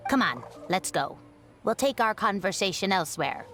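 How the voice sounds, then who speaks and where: An actress playing an elderly woman scholar in a video game, composed and reminiscing in a measured, wry manner. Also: medium pitch, lively intonation, sharp tone. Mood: magnanimous, teasing. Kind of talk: scripted video game dialogue.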